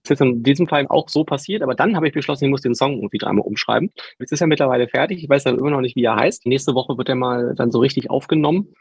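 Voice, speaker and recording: A man's voice: mid-range voice, rapid-fire, creaky, hardly any pauses, breathy edge, dry recording. A man being interviewed as a musician, chatting easily and laughing now and then as he tells stories. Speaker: musician guest. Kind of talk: relaxed interview chat.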